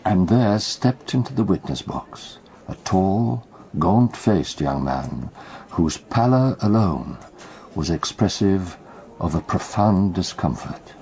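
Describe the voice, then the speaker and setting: Wry storyteller, low and slow, mellow, deliberate radio drama delivery. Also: male voice, sing-song, heavily acted. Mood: ominous. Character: wry, knowing male storyteller recounting a trial.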